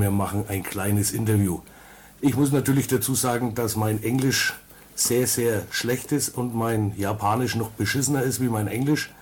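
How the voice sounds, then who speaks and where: A man's voice: fairly low pitch, darkish tone, slight background noise. A man hosting a casual radio interview, chatty and informal with loose grammar. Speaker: radio host. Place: record shop studio.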